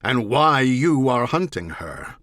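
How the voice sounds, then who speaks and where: A man's voice: medium pitch, very animated, takes it slowly, a bit bright, no room sound, studio quality. A man voicing a stern, imperious alien warrior lord, commanding, terse and suspicious, in a science fiction audiobook. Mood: stern, threatening.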